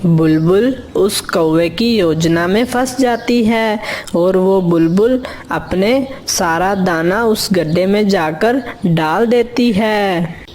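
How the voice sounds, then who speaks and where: A woman narrates a children's story from a script. Her pace is very slow and her pitch is mid-range, with faint noise in the recording. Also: a little mellow.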